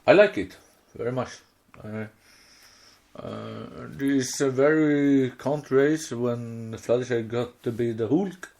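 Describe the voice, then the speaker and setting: A man's voice: medium pitch, slow pace, vocal fry, a little mellow. A man with a Swedish accent chatting casually as a podcast co-host, searching for words in non-native English. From Sweden. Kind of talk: casual chat.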